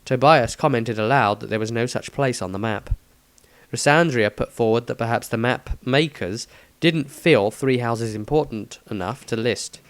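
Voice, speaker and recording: A man's voice: medium pitch, moderate pace, no echo. A man reading a scripted fantasy story as its narrator. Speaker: author and narrator.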